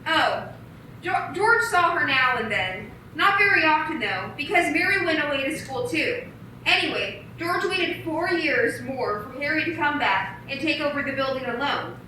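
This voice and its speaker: A woman's voice: sits high, expressive, moderate pace, pushed and bright, a little hiss or hum. A woman narrating a radio play in a storytelling manner. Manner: storytelling, explaining. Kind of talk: scripted narration. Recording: echoey room from a distance.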